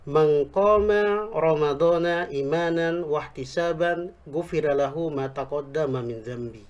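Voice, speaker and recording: A man explaining religious teaching on a talk show, speaking Indonesian with a Javanese accent picked up in Yogyakarta, quoting Arabic scripture in a measured way. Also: fairly high pitch. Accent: Javanese-tinged Indonesian picked up in Yogyakarta. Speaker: Islamic preacher, guest.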